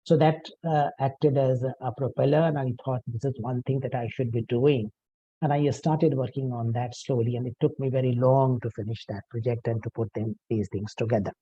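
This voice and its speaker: An older man, a native Urdu speaker, giving a measured, formal academic talk about his book, pausing often with "uh" and correcting himself. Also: mid-range voice, steady tone, warm tone, husky, no echo, dull audio. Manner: measured, formal, with frequent "uh" pauses and self-corrections. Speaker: author presenting his book.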